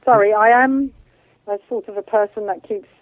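A woman speaks over a narrow, phone-like connection with very little room sound. Her pitch sits in the middle range. She explains her beliefs as an interview guest, informally and in loose, wandering sentences. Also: fairly even intonation, normal speed, no echo. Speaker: guest medium and channeller.

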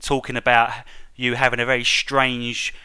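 A man's voice: medium pitch, completely flat delivery, normal speed, sharp tone, clear, resonant tone, dry recording. A man hosting a casual discussion, feeling tentatively for his words and checking that he is understood. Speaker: interview host.

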